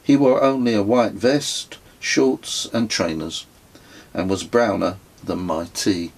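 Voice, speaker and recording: A man narrates his own written story in a lowish, husky voice. The delivery is slow and measured, with a descriptive audiobook style that takes its time over each phrase. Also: poor audio. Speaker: author reading own memoir.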